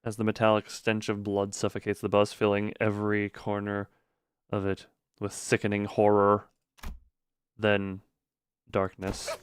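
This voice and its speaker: A man's voice: fairly low pitch, completely flat delivery, a little slow, smooth. A man streaming a game, chatting casually and reading the story text aloud with dramatic delivery.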